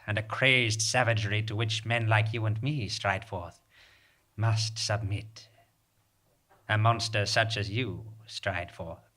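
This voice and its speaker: An actor playing a menacing bandit leader in a live comic western radio drama, speaking in a grandiloquent, deliberate, theatrical way. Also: male voice, on the low side, fairly bright tone.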